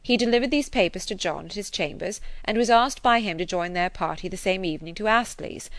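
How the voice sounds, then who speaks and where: A woman reading the lines of a grave, kindly gentleman in an audiobook, measured and earnest with a touch of gentle teasing. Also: medium pitch, no room sound, close to an everyday voice.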